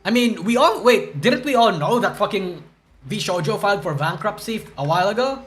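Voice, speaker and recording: A man's voice: sits high, lively intonation, normal speed, fairly bright tone. A young man streaming live, rambling and swearing as he reacts to news, groggy from a hangover and stuttering. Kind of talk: casual livestream chat reacting to news.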